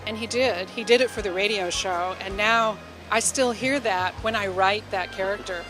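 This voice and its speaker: A woman's voice: medium pitch, moderate pace, low-quality recording. Enthusiastic woman telling stories, music behind. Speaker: novelist and radio drama writer, interview guest. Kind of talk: conversational interview storytelling.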